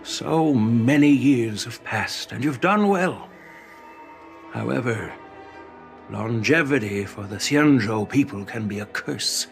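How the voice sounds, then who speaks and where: An actor playing an older, stern male general who lectures slowly and with measured weight. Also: gravelly, faint music behind. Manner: measured, lecturing, lively pitch. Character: stern old male general, wise and commanding. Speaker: veteran general. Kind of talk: game voice acting.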